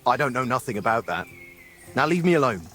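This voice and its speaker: An actor playing an evasive lowborn medieval thug in a game, talking in a rough, dismissive, colloquial way. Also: male voice, big pitch swings, fast, a bit bright, faint music behind. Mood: dismissive, denying. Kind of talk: video game dialogue acting.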